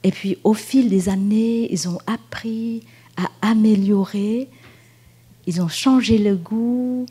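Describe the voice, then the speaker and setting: A Buddhist nun from Vietnam speaking French with a Vietnamese accent, giving a gentle, unhurried dharma talk at a retreat, with pauses and fillers. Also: female voice, mid-range voice, breathy edge. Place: meditation hall at a retreat.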